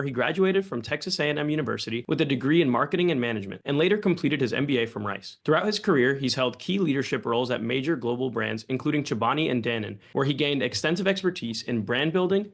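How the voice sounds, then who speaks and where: A man in his early twenties, a podcast co-host reading a scripted guest introduction smoothly. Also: mid-range voice. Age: early 20s.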